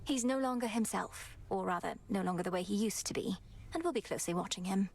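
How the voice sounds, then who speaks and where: A woman's voice: medium pitch, quick pace, a little mellow, lightly acted. Woman playing an earnest, composed young witch princess. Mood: earnest, reflective. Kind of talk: scripted game voice acting.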